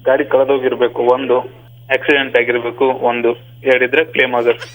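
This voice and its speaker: A man's voice: medium pitch, level tone, quick pace, phone-quality sound. A man answering a loan question on the phone in a blunt, impatient manner. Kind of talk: phone conversation.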